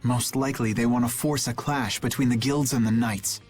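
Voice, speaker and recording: An earnest young knight from a game cutscene, played by a man. His mid-pitched voice is serious and measured, full of duty, with music playing underneath. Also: fairly even intonation, lightly acted. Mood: serious, resolute. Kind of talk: dramatic video game voice acting. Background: background music.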